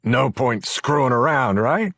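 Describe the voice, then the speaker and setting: A man's voice: medium pitch, animated, unhurried, fairly bright tone, no echo, clean studio sound, voice pushed up. A man voicing a crude, scornful male gang leader who talks in a brash, mocking way. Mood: cocky.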